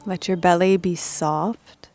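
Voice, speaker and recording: A woman leading a guided meditation as a teacher, speaking calmly and gently in measured instructions with pauses. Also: lowish voice, faint music behind.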